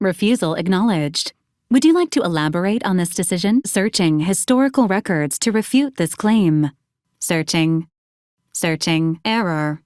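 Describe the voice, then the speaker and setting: A woman voicing a formal, precise and deadpan female robot assistant in a video game, polite and cheerfully morbid. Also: fairly low pitch, no room sound, clean studio sound, close to an everyday voice. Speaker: robot assistant to a military commander. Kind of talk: scripted video game voice lines, formal announcements.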